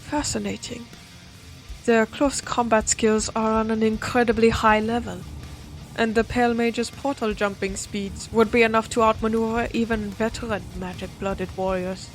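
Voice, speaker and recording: A woman's voice: a little high, level tone, moderate pace, soft background music, close to an everyday voice. A woman voicing a composed, formal non-human observer in an audio roleplay, analytical and detached yet curious. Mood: detached, intrigued.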